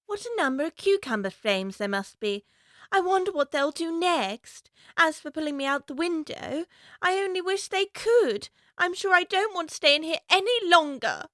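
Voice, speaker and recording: A curious little girl in an audio drama, high clear bright voice, lively, dry close mic. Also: normal speed, no room sound. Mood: musing. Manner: earnest, talking to herself.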